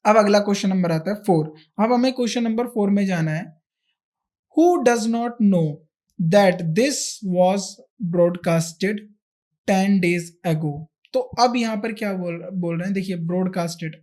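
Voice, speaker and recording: A man's voice: high-pitched, darkish tone. A man with an Indian accent teaching a grammar lesson, explaining step by step with repetition and rhetorical questions. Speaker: English grammar teacher for exam candidates. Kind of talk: explanatory lesson, switching between Hindi and English.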